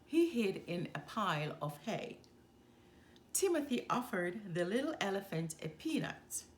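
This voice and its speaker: Woman, children's read-aloud, unhurried, highly expressive, faint background noise. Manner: deliberate, expressive delivery. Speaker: storyteller.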